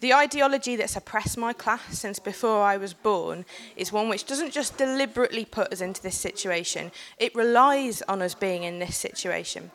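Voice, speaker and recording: A woman with a cold giving an earnest, personal talk on a panel in a packed room, building steadily to forceful points. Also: medium pitch. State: has a cold. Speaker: panel speaker and organiser.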